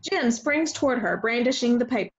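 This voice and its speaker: A woman's voice: on the high side, lively intonation, fairly quick. A woman reading stage directions as scripted narration for a play. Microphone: video call. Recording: dull video-call audio.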